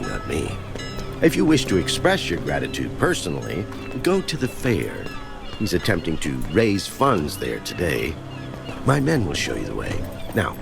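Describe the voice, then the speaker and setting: An actor playing a dutiful medieval guard captain in a video game, formal and businesslike. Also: male voice, a bass voice, gravelly, heavily acted.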